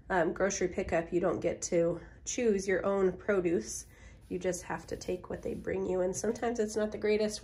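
A woman's voice: mid-range voice, a little mellow. A woman in her thirties, recovering from a cold with a strained voice, chatting casually in a home grocery haul vlog filmed on a phone in her kitchen. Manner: chatty, many ums, homey and upbeat. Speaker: vlogger. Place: farmhouse kitchen, indoors. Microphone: phone camera at arm's length.

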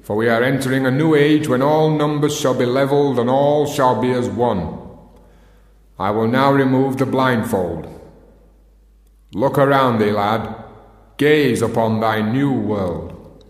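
An actor in a radio play as a solemn Masonic master, speaking in ceremonial, archaic phrases in an echoing hall. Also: male voice, mid-range voice, measured pace, pauses often, natural voice. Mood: grave, commanding. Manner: ceremonial, incantatory, archaic diction. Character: solemn Masonic master leading an initiation ritual.

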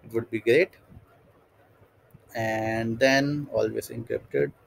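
A man giving a screen-recorded software tutorial, thinking aloud step by step with many fillers and restarts. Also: medium pitch, steady tone, slow, vocal fry, rough recording. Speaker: tutorial instructor.

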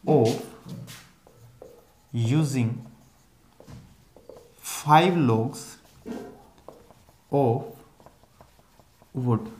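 A young man teaching a zoology class at a whiteboard, explaining slowly and repeating key terms as he writes. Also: a little high, darkish tone. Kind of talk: whiteboard lecture mixing Hindi and English. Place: small room with a whiteboard. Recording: echoey room.

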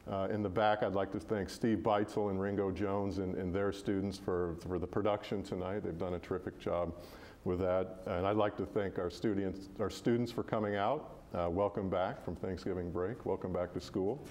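A man's voice: low voice, fairly even intonation, moderate pace, a little mellow. A man moderating a campus talk as host and professor, asking questions and giving formal thank-yous.

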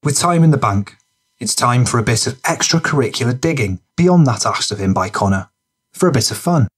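Guy narrating a gritty crime audiobook, husky voice, mid pitch. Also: lively intonation, fairly quick.

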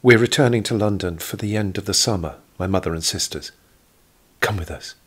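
A man's voice: fairly low pitch, brisk, husky. A man voicing an earnest, protective, lovestruck rich boy in an audio drama, pleading as he speaks. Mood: reassuring.

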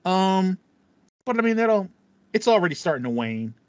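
A man's voice: high-pitched, big pitch swings, moderate pace, dry recording. American man, dry and slightly dull sound. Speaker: podcast co-host. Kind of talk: casual gaming chat. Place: small home room with acoustic foam.

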